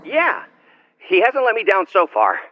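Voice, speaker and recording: A man's voice: sits high, wildly swooping pitch, unhurried, heavily acted. An actor playing a friendly, flustered man heard through a phone-call filter in an English game dub. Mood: friendly, casual. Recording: dull phone-call sound, music behind.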